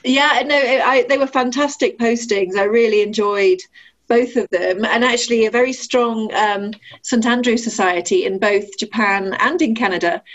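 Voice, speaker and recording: A woman talks warmly and easily with a guest's politeness. She leans on fillers and restarts her sentences. The audio is muffled and low-fidelity. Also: moderate pace. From Sheffield, England. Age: 40s. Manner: friendly, frequent fillers and restarts, laughs easily. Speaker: ambassador, interview guest. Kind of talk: conversational interview.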